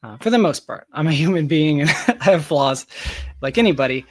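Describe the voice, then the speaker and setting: A man's voice: a little high, lively intonation, a little fast, no room sound. A man talking casually as an interview guest, explaining his ideas emphatically and repeating phrases for stress. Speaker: interview guest, tech company founder.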